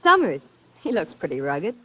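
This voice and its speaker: An actress playing a brisk, efficient office secretary in a 1950s radio drama, on a very noisy old recording. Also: female voice, lowish voice, wildly swooping pitch, dull audio.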